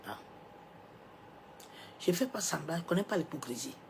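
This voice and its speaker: A woman's voice: deep voice, expressive, fairly quick, gravelly, a little hiss or hum. Woman pastor with a cold, preaching. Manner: swings from loud emphatic declarations to soft near-whispers; preaching with rhetorical asides and interjections. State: has a cold, coughing. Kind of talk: sermon.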